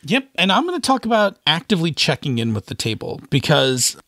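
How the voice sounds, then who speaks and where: A man's voice: on the high side, big pitch swings, brisk, bright, forceful tone, dry recording. Casual man co-hosting a podcast, dry sound. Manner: informal, often checks in with "right?". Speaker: podcast co-host. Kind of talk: casual, conversational discussion and advice.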